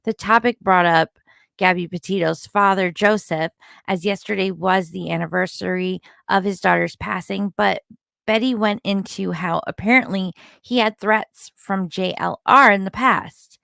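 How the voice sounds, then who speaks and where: A woman's voice: medium pitch, moderate pace, gravelly, pushed and bright, no echo, studio quality. A woman delivering opinionated, partly scripted recap commentary in a critical, disapproving manner, like an online content creator.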